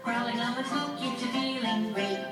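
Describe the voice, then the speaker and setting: A woman's voice: on the high side, normal speed, lightly acted. A woman voicing a cheerful puppet safety helper who speaks in a singsong, instructive way for children. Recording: echoey room, distant playback with loud music.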